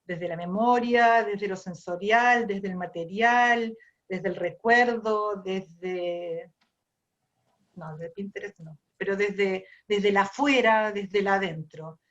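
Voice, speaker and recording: Argentine woman, ceramic artist guest. Reflective storyteller, circles back on her words. Mid pitch, slightly dull recording. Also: takes it slowly. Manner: thinks aloud, repeats and restarts phrases.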